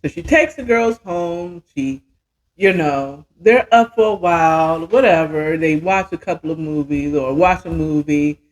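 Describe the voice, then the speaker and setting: A woman's voice: low voice, unhurried, poor audio. A woman in her fifties telling a story casually as a podcast host, chatty and emphatic, often checking in with the listener. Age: 50s.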